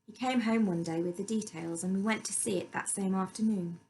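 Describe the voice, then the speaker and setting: A woman's voice: mid-range voice, steady tone, fairly quick, a little mellow, a little hiss or hum, rough recording. A woman reading a story aloud as scripted narration.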